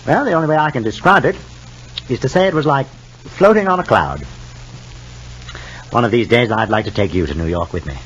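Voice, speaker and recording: A man's voice: mid-range voice, lively intonation, fairly quick, long pauses, fairly bright tone, slight background noise, lightly acted. An actor playing an affectionate, proud but undemonstrative father in a 1950s radio soap opera. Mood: fond, gentle. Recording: muffled old 1950s radio recording.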